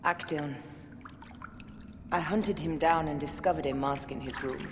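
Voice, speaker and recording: Fierce game assassin lady, talks real slow and lowish, intense, long echo. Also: fairly even intonation. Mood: grim. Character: fierce, loving female assassin, resolute avenging wife. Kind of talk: dramatic video game cutscene acting. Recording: reverberant hall, loud ambient noise.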